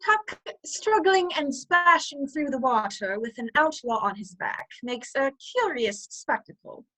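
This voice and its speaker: A woman's voice: high voice, lively intonation, unhurried, pushed and bright, full character voice, rough recording. A woman narrating a scripted radio play in an arch, theatrical storytelling manner. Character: animated storytelling narrator of an outlaw adventure.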